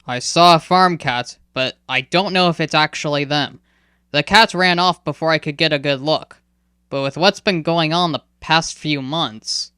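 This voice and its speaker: A woman playing a serious robed man who speaks with earnest urgency. Bright and a touch higher than her narration, recorded close and dry. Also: normal speed, clear, resonant tone, dry recording. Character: calm, watchful man in robes, serious.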